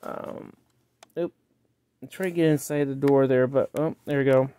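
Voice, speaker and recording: A man giving a casual, unscripted product walkthrough in a halting, rambling way, often trailing off, recorded indoors on a handheld phone. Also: medium pitch, steady tone, warm tone, clear, resonant tone. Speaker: collector showing a yard-sale cassette player. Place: indoors, close over a tabletop. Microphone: handheld phone he is filming with.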